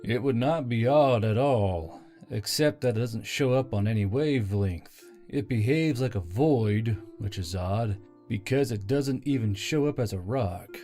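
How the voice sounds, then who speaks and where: A dark, mellow, calm robot man from an audio story, acted by a man. He speaks analytically, at a middle pitch, with faint music underneath. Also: measured pace, lightly acted. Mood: calm, puzzled. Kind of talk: scripted character dialogue.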